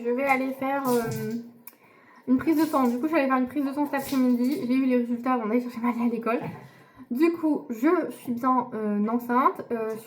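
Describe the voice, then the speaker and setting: A young woman from France chats to her camera in a casual diary vlog. Her voice sits a little high. She talks loosely, filling pauses and restarting sentences as she goes. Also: slight background noise, poor audio. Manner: chatty, many fillers and restarts. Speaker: vlogger.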